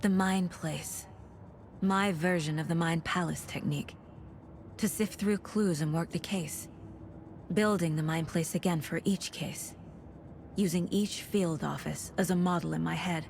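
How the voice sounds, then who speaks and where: A woman playing a focused, businesslike FBI lead investigator in a video game, speaking in a measured, commanding way. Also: fairly low pitch, steady tone, vocal fry, darkish tone. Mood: focused, pensive. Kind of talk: scripted game dialogue and introspective narration. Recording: faint background noise.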